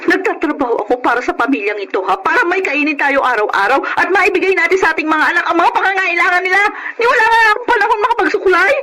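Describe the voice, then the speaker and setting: An actress playing a hardworking, wrongly suspected wife in a Filipino radio drama, with emotional, theatrical delivery. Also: female voice, extremely high pitch, lively intonation, fast talker, few pauses, pushed and bright, heavily acted. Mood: hurt, furious. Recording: very noisy radio drama recording.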